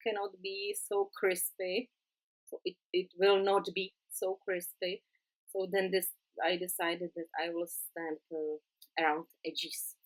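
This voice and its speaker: Woman, Czech accent, non-native English, slow and halting, crafting tutorial host. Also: medium pitch, rough recording. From Czech Republic. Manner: halting, many "uh" pauses, simple non-native phrasing. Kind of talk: card-making voice-over tutorial.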